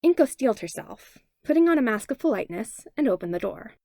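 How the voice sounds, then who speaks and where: A woman reading fan fiction aloud as a podfic narrator, with expressive, animated storytelling. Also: on the high side, fast talker, vocal fry, no echo, studio quality. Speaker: podfic reader.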